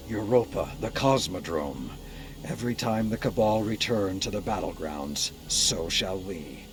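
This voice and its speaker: An actor playing a stern, battle-hardened military commander in a video game, speaking gravely and with measured authority. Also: male voice, on the low side, fairly bright tone. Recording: faint music behind. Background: game soundtrack music.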